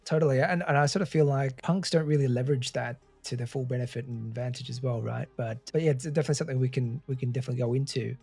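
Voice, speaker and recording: A man's voice: medium pitch, steady tone, racing, creaky, few pauses, darkish tone, slightly rough, faint music behind. A man hosting a podcast, talking in an informal, matey, conversational way. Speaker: podcast host. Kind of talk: upbeat intro and casual interview.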